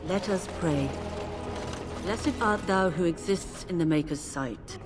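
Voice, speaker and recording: A woman's voice: fairly low pitch, slow pace, over loud music. An actress playing a woman cleric in a game, leading prayer in a solemn, chanting way.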